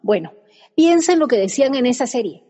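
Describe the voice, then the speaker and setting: A woman playing a husky, dryly sarcastic friend. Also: a little high, brisk, in a large hall, muffled recording. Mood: persuasive. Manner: calm and reasoning, with dry irony. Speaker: radio comedy actress. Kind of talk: radio comedy acting.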